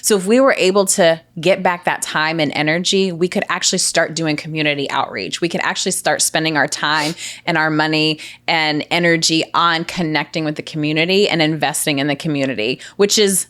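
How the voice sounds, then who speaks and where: Earnest low-voiced woman. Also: fairly even intonation, brisk, few pauses. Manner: earnest and impassioned, stacks repeated phrases; speaks forcefully, says she has a loud voice. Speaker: healthcare revenue cycle director, guest. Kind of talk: conversational interview answers.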